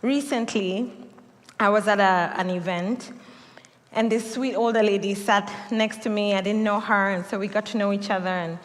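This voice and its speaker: A woman's voice: medium pitch, moderate pace. Kenyan woman preaching in a reverberant hall. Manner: animated storytelling with laughs and acted quotes. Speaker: pastor. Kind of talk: sermon. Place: church sanctuary. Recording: long church hall reverb.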